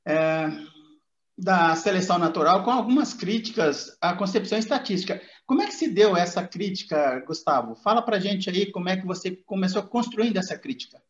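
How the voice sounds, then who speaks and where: High-voiced Brazilian man hosting, roomy sound. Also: expressive, a little fast. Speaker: interviewer, channel host. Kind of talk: casual interview chat. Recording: roomy, dull lo-fi audio.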